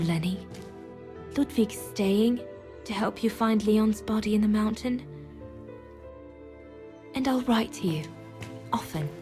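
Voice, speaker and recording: An actress playing a grieving woman who reads out her letters in a hushed, sorrowful way for a story game. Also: fairly high pitch, close to an everyday voice. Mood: tender, sorrowful. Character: grieving woman writing letters to a dear friend.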